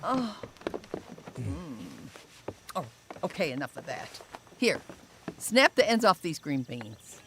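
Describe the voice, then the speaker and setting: A fussy, chatty farm aunt from the 1930s in a radio drama, her pitch rising and falling in lively swings. Clear background noise runs under her. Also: takes it slowly, long pauses. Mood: fussing.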